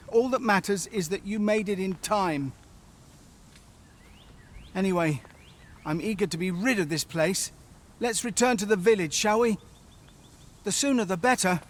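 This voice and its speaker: Man acting high-voiced, earnest young villager. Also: moderate pace, pauses often, lightly acted. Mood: relieved, eager. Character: young village herb gatherer, grateful, dutiful and eager. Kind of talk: scripted fantasy game dialogue.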